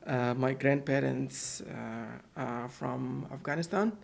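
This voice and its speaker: A man talks as a podcast guest in an office, at a middle pitch for a man. His voice has a gravelly, creaky edge. He speaks hesitantly and often repeats words and fills gaps while finding his phrasing. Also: slow pace. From Pakistan. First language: Urdu. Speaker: guest, center manager. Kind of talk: casual podcast interview.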